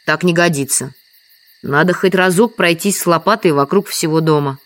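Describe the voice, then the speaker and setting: A woman's voice: lowish voice, normal speed, no room sound, natural voice. An actress playing a dutiful, stubborn village woman in an audio drama, plain and insistent in manner.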